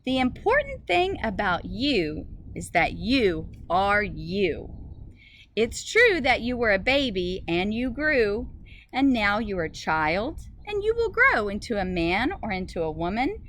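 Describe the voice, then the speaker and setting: A woman teacher reading a picture book aloud to young children in an expressive way, with simple phrasing. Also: medium pitch, unhurried. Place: outdoors in a school garden. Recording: noisy outdoor recording with ambient sound.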